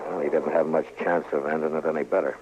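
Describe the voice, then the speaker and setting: An actor playing a stern, steady frontier marshal in an old western radio drama, terse and grave with quiet authority. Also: male voice, rumbling low, fast talker. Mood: somber. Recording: noisy, muffled old radio recording.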